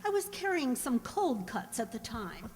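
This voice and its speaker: A woman's voice: fairly high pitch, very animated, unhurried. A woman playing a placid, absent-minded middle-aged housewife, breezy and distracted, in a radio comedy. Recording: echoey hall, long reverb.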